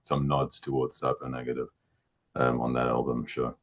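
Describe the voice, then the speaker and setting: A middle-aged man with a baritone voice, a musician answering interview questions in a casual, halting way, often repeating words as he thinks. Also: flat delivery.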